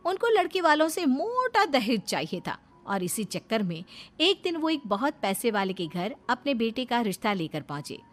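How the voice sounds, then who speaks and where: A woman's voice: on the high side, big pitch swings, normal speed. A woman reading scripted narration for a moral cartoon story.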